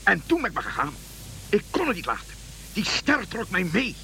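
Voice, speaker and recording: A fervent old king on a pilgrimage, high-pitched and swooping in tone. He stammers and repeats himself with dreamy conviction, in a radio play on a hissy old recording. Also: huge pitch swings, moderate pace, vocal fry, pushed and bright, heavily acted. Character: old Balthasar, fervent dreamy wandering king following a star. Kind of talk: Dutch radio play acting.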